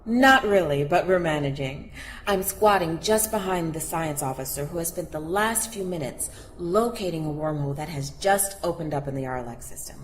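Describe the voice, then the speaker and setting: A woman's voice: low voice, animated, moderate pace. A woman playing an earnest, intrepid field reporter giving a live report from aboard a spaceship in an audio drama. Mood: brisk, reporting. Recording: noisy spaceship ambience behind voice.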